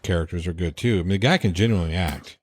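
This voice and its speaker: A man's voice: low-pitched, quick pace, no echo, studio quality. A man co-hosting a casual talk about horror films, easygoing and asking leading questions.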